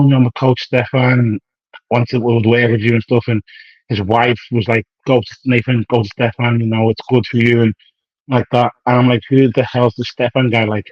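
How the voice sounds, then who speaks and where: A man's voice: mid-range voice, no echo, dull audio. A man in his thirties, a professional bodybuilder, chatting casually in an interview, rambling in slang and acting out past conversations. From United Kingdom. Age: 30s. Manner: rambling, slangy, acts out quoted dialogue.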